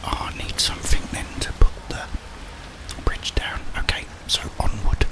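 Whispering man, slow ASMR gamer. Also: rough recording. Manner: soft, unhurried, murmuring to viewers. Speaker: ASMR gaming host. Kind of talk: whispered ASMR game commentary. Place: small indoor room. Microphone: close desk microphone, headphones worn.

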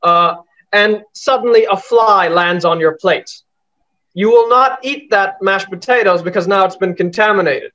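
Young man, high voice, deadpan comic on a muffled webcam feed. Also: normal speed. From Bangladesh. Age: young adult. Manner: deadpan, dry delivery with frequent uh fillers. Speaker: stand-up comic. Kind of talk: stand-up set on a video call. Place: small room indoors at home. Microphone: laptop webcam on a video call. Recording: muffled video-call audio.

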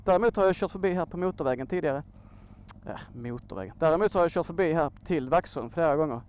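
A man's voice: fairly high pitch, lively intonation, a little mellow, a little hiss or hum. A man from Sweden giving a casual, slangy vlog monologue, thinking aloud as he talks. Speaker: motorcycle vlogger, host. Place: outdoors on a motorcycle by a road.

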